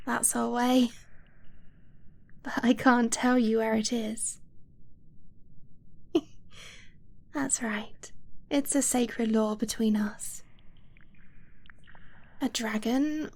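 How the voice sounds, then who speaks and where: Woman acting young dragon-blooded girlfriend, high-pitched, very slow delivery, soft breathy near-whisper, tender, giggly, ASMR roleplay. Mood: tender, shy. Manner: tender, unhurried, giggly, confiding. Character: affectionate young dragon-blooded girlfriend, shyly proud of her treasure.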